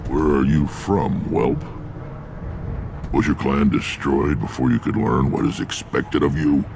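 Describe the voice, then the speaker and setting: A massive alien warlord from a video game, with an extremely deep, heavily creaking voice. He speaks bluntly and with weathered authority, as a leader of clans, over clear background rumble. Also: fairly even intonation, measured pace, heavily acted. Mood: stern. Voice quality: gravelly, rumbling. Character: huge gruff alien warlord clan leader, commanding, weathered.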